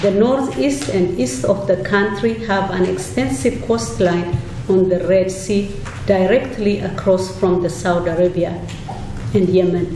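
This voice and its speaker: A middle-aged woman, a panelist giving a slow, halting personal testimony and partly reading from notes. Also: mid-range voice, warm tone. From Eritrea, raised in Ethiopia. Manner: halting, deliberate, with many pauses. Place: church hall. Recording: echoey hall from a distant mic, noisy.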